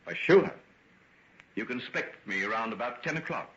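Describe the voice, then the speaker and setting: Genteel doctor for a radio play, played by a man: polite, guarded, reassuring, very expressive pitch, dull noisy old recording. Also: lightly acted. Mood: guarded, courteous. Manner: polite, measured, gently reassuring. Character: genteel male doctor, courteous, soothing, guardedly secretive. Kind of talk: golden age radio drama acting.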